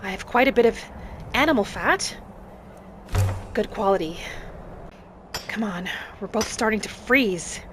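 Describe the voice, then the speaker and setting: A woman voicing a gentle, adventurous female wood elf traveller in a video game roleplay, musing softly aloud in character over music. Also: medium pitch, a little slow, pauses often, natural voice. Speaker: video game streamer role-playing.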